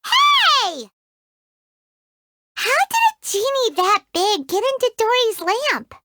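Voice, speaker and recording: A squeaky, excitable fairy sidekick. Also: female voice, slow pace, no room sound, shouting, clean studio sound, heavily acted. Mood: chirpy. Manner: speaks of herself in third person, excitable. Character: tiny floating female fairy companion, greedy and chatty. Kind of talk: studio game voice acting. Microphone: studio mic.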